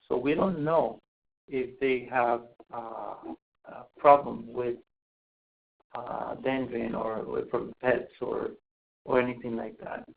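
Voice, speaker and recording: Casting: man from Costa Rica, mid-pitched, halting presenter, thin phone-like sound. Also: vocal fry. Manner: hesitant, many fillers and restarts. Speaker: asthma program home visitor. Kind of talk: webinar presentation. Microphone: webinar call mic. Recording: tinny phone-like webinar audio.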